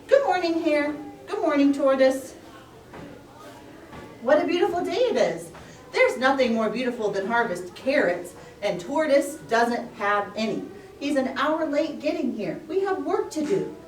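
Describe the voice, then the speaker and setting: A woman's voice: sits high, animated, measured pace, a little hiss or hum, pitched above the performer's own voice. A woman voicing a bossy, competitive hare in a puppet story, brash and emphatic in manner. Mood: chirpy, smug. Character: boastful, impatient, fast hare, bossy and competitive. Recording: roomy echo, distant microphone.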